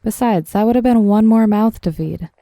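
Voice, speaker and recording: A young woman playing a tough, sardonic zombie killer in an audio drama, with a deadpan, teasing, brisk delivery. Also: a little high, no echo, studio quality, natural voice. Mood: matter-of-fact. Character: tough, sardonic twenty-five-year-old woman, cocky zombie killer. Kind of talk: audio drama acting, casual dialogue.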